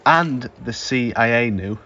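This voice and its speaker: A man's voice: mid-range voice, expressive, unhurried, bright, forceful tone. Actor as cocksure, argumentative young man in an audio drama, slightly muffled home recording. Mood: smug, insistent. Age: young adult. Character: opinionated man, smugly sure he is right. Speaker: student actor.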